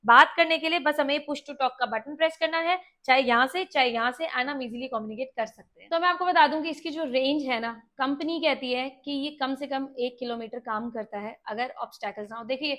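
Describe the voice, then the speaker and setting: A woman's voice: high voice, a little fast, few pauses, sharp tone. A woman with an Indian accent presenting a product demonstration in a conversational, explanatory way, mixing in English words. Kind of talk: walkie-talkie review with demonstration.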